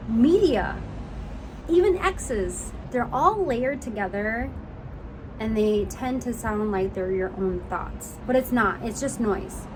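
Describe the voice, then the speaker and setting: A woman in her thirties speaks in a slightly high voice, emphatic and encouraging as she delivers a motivational talk. She calls her listeners honey and y'all. Traffic and outdoor noise are clearly heard behind her. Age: 30s. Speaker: vlogger.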